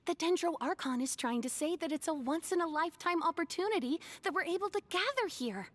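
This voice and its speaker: A woman voicing a cheerful female friend in a dream, in a bright, upbeat way. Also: very high voice, animated, a little fast. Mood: excited.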